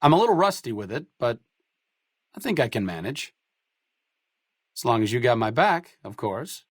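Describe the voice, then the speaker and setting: An idealistic, educated wasteland doctor for a video game. He speaks at a middling pitch in articulate sentences with a dry, self-deprecating wit. The sound is close and dry, with no room on it. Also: very animated, normal speed, slightly rough, no room sound. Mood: earnest. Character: wry, educated, idealistic doctor-researcher in a post-apocalyptic wasteland. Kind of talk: video game companion dialogue.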